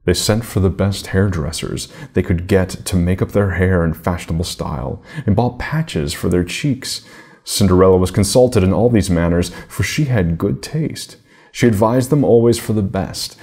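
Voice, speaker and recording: A middle-aged man narrating a children's fairy tale in a measured, deliberate manner. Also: sits low, creaky, warm tone, husky. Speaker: storybook reader.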